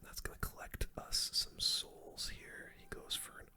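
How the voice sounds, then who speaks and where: A young adult whispers casual, slangy commentary over their own gameplay in an ASMR style. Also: a little slow. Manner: casual slangy gamer talk, full whisper.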